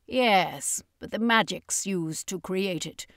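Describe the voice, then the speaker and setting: A woman's voice: mid-range voice, slow, fairly bright tone, no room sound, studio quality. A woman playing a commanding circus master. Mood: inspired. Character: commanding male circus master, resolute vampire hunter.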